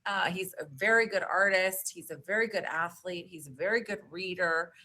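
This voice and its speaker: Chatty woman in her 40s, bright voice, says like a lot, podcast guest. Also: on the low side, moderate pace. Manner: animated, talkative, frequent "like". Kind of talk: casual interview chat.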